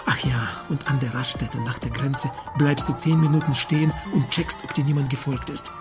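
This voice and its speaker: A man's voice: medium pitch, steady tone, normal speed, natural voice. An actor playing a scheming, soothing underworld man in a German radio drama, hesitant and reassuring. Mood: urgent, controlled. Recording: music behind the voice.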